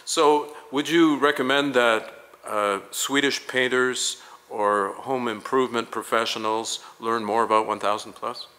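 A man interviewing a guest for a promotional video, asking polished, leading questions at a measured pace. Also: medium pitch, steady tone, sharp tone.

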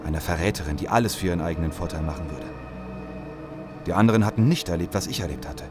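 An actor playing a battered, distrustful survivor soldier in an audio drama, blunt and skeptical. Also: male voice, sits low, quick pace, loud music behind the voice, lightly acted. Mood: grim narration. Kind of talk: German audio drama acting.

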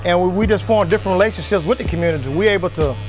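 A man's voice: high-pitched, rapid-fire. A man, around his thirties, speaking as a barber in a documentary interview. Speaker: barber describing his shop. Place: indoors in a barbershop. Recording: faint music behind.

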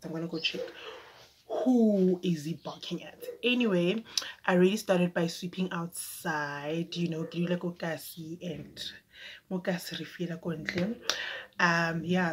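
A woman's voice: fairly low pitch, background noise. A young woman chatting casually to her phone camera in her bedroom, rambling with fillers. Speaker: vlogger. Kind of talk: casual vlog chat to camera. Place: bedroom at home, indoors.

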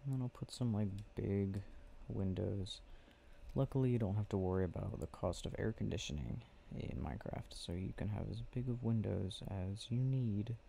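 A man speaking softly and drowsily in an ASMR boyfriend roleplay, murmuring with unhurried pauses. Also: lowish voice, gravelly, a little hiss or hum. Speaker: ASMR roleplay audio creator. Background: game clicking sounds.

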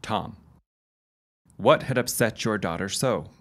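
An actor playing a brisk, wry police detective in a period audio drama, with a formal, clipped way of talking. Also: male voice, fairly low pitch, fairly bright tone. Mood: probing.

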